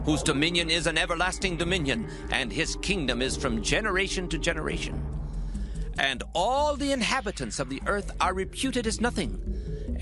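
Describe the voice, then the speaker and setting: A man's voice: fairly high pitch, lightly acted. An actor playing a proud, majestic king of ancient Babylon in a dramatized scripture reading, with grand, declamatory delivery. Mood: exultant, reverent. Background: music.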